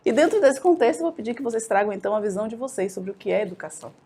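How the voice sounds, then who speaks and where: A woman's voice: on the high side, lively intonation, warm tone. A woman with a Brazilian Portuguese accent moderating a panel, affirming guests and handing them the floor. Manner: brisk, affirming, gravelly. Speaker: podcast host and moderator.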